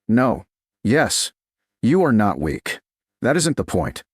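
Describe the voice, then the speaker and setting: Man playing a calm, deep-voiced medieval duke. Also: slightly rough, no echo, studio quality, exaggerated voice. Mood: calm, firm. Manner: calm, terse, measured. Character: steady, controlled, protective medieval duke. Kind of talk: audiobook character dialogue.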